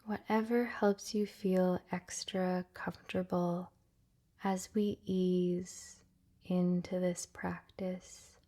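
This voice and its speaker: A woman leading a guided relaxation meditation in a soft, breathy, often near-whispered voice, gentle and soothing with long pauses. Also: on the low side, little pitch movement.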